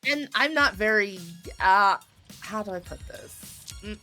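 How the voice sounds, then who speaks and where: A woman voice actor being interviewed, chatting casually during a video game in an excitable, halting way, often interrupting herself. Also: medium pitch, faint music behind. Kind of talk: casual chat while playing a video game.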